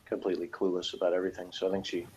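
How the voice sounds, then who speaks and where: A man chatting casually as a reviewer, talking in a rambling, halting way. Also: deep voice, monotone, darkish tone, breathy edge. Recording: dull lo-fi audio.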